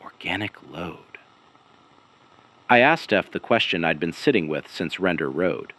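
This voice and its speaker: A man performing as a haunted investigative broadcaster in a serial audio drama, with a low-pitched voice that moves expressively. He sounds weary and grave, setting out each clipped phrase deliberately and leaving dramatic pauses. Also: animated, a bit bright. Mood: grave, measured. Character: weary, haunted male investigative broadcaster piecing together a conspiracy. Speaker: broadcast host and narrator of a fictional missing person investigation series.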